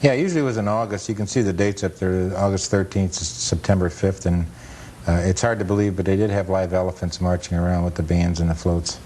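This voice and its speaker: A man's voice: low voice, steady tone, quick pace, warm tone. An American man, a guest on a television interview, explaining things in a plain, matter-of-fact, conversational way. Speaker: interview guest, photo historian and author. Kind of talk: casual explanatory talk. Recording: noisy 1980s cable TV recording.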